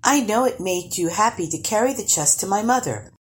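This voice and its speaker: A woman's voice: mid-range voice, animated, brisk, a little hiss or hum, voice pushed up, close to an everyday voice. A woman voicing a clever, bold young girl in a storybook, speaking in a sweet, wheedling sing-song. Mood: sweetly coaxing. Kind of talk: storybook character voice.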